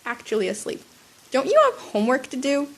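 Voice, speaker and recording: A sniffly woman reading the lines of a tired, blunt male hero in a dry, deadpan way. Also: sits high, a little fast. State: sick, slightly sniffly.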